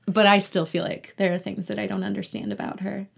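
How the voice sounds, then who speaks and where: A woman's voice: mid-range voice, little pitch movement, fairly quick, vocal fry, husky. A young woman chatting casually as an interview guest about her own work. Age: young adult. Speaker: guest, actor and singer.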